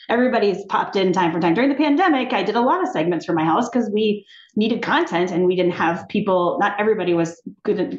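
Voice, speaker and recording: Chatty woman in an echoey room. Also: medium pitch. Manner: animated, chatty, laughing, many restarts. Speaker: TV executive, podcast guest. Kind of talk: casual interview chat. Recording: echoey room, dull sound.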